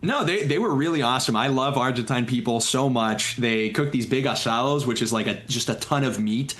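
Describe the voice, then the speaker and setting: A man's voice: mid-range voice, a little fast, fairly bright tone. A young man from the United States talking casually as a talk show guest, with an easygoing, jokey manner. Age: young adult. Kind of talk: casual interview chat.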